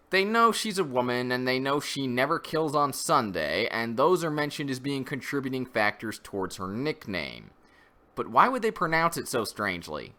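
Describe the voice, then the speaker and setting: A man's voice: medium pitch, sharp tone. A man giving an opinionated, conversational review commentary, critical and semi-scripted. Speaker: host of a comic review channel.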